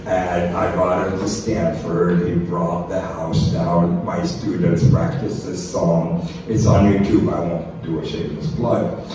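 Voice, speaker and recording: A man's voice: deep voice, level tone, a little slow. A man giving an informal, enthusiastic lecture to students, often digressing and putting questions to them. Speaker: university lecturer and radio host. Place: echoey lecture room. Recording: echoey room, distant mic, noisy background.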